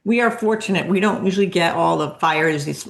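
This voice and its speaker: Low, creaky-voiced woman, podcast co-host. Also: brisk. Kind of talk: casual podcast chat.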